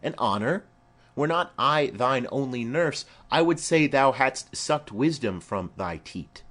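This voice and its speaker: A man's voice: mid-range voice, lively intonation, unhurried, a bit bright. A man voicing a garrulous, fond old female nurse in a Shakespeare play, rambling and exclamatory. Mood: fond, excitable. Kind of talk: amateur-style Shakespeare play reading.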